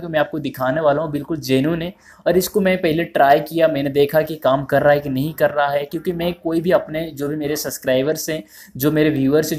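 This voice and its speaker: A man's voice: a little high, a little mellow. A man narrating an informal phone tutorial, explaining step by step with repetition and addressing viewers as friends. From India. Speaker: tutorial host.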